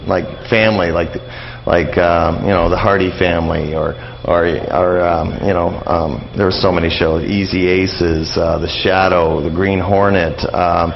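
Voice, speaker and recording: Middle-aged man, very low creaky voice, halting rambling delivery, casual interview guest, slightly muffled lo-fi recording. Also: fairly even intonation, slight background noise. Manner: halting, rambling explanations peppered with "you know" and "like". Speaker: interview guest on old-time radio. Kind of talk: casual radio interview, explaining history.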